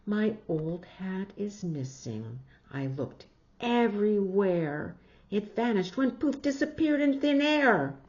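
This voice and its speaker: Carefree storybook kid played by an adult woman: raised pitch, eager, animated, pleading. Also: slow, muffled recording. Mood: excited. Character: eager, carefree little girl who loses things. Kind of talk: picture-book read-aloud.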